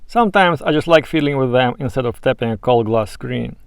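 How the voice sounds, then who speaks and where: A man presenting a scripted video essay in a measured, explanatory and opinionated way. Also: mid-range voice, animated, creaky, slight background noise. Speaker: YouTube presenter.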